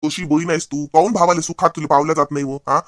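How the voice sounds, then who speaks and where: A man voicing a henpecked, sarcastic husband in a comedy cartoon, with a Vidarbha Marathi accent and drawn-out, mock-lamenting emphasis. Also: a little high, fairly even intonation, fairly bright tone, no echo, clean studio sound, close to an everyday voice. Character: henpecked, grumbling husband and son-in-law. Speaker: comic cartoon character.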